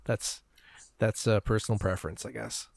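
A man speaks in a fairly low voice with very little room sound around it. He talks casually, like a panelist in a relaxed podcast discussion. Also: moderate pace, creaky, no echo.

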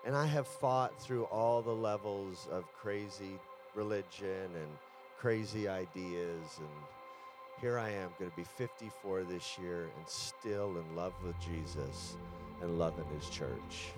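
A man in his fifties preaching a sermon as a guest pastor, then praying aloud with rising fervor, declaring each line. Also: deep voice. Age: 50s. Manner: builds to loud fervent declarations in prayer. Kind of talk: sermon and prayer.

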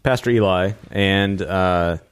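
A man hosting a podcast, recapping in a casual, halting way as he talks. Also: deep voice, clean tone. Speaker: podcast host recapping TV episodes.

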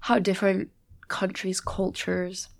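A woman's voice: mid-range voice, monotone, takes it slowly, gravelly. A young woman from the USA, a guest chatting casually and filling her talk with "like". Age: young adult. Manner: chatty, many "like" fillers. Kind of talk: casual conversation.

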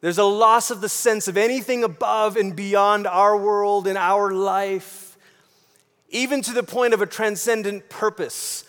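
A man's voice: sits high, fairly even intonation, unhurried, a bit bright, clear, resonant tone. A man from Malaysia preaching a sermon in a conversational way, posing rhetorical questions and repeating phrases for emphasis. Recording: long hall reverb.

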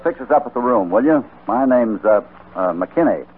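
An actor playing a shrewd, calm American cowboy hero in a radio western, with a measured, probing manner. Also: male voice, mid-range voice, lightly acted. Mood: curious. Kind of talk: old-time radio western acting. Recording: muffled, noisy vintage radio recording.

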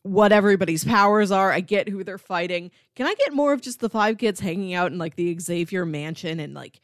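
A woman's voice: medium pitch, lively intonation, a little fast, no room sound, clean studio sound. A woman co-hosting a podcast, chatting casually and informally as she gives her opinions. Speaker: podcast co-host. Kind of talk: casual chat, informal review.

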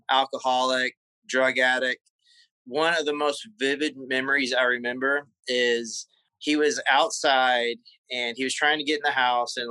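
Southern man in his thirties, bright tense voice, dry close sound, interview guest recalling his childhood. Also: mid-range voice, clean tone, no room sound, poor audio. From South Carolina. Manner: halting, repeats words while recalling memories. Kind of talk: casual interview conversation.

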